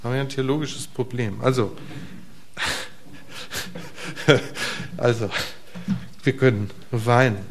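A middle-aged man gives a relaxed sermon in a church, speaking straight to the people in front of him. His voice sits in a middle pitch, and he swings between emphatic, raised bursts and quiet, soft asides, often asking his listeners questions. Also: takes it slowly, pauses often. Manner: casual, conversational preaching with rhetorical questions to the congregation. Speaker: preacher. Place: church hall before a congregation.

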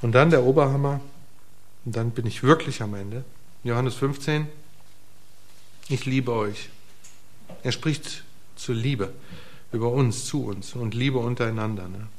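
Man preaching, middle-aged, casual sermon, talks to the congregation, loud bursts then soft asides, mid-pitched voice. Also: measured pace, long pauses. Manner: casual, conversational preaching with rhetorical questions to the congregation; shifts between emphatic bursts and quiet, soft asides. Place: church hall before a congregation.

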